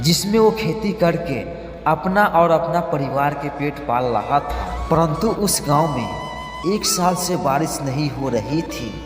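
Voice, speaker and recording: A man's voice: fairly high pitch, reverberant, in a large hall. A man narrating a scripted moral story as a storyteller. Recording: loud background music.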